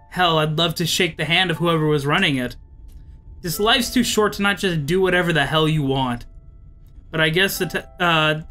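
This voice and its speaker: A man's voice: on the high side, bright, forceful tone. A man voicing a weary, anxious driver in an apocalypse visual novel, somber and hesitant. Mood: weary, wistful. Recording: music behind the voice.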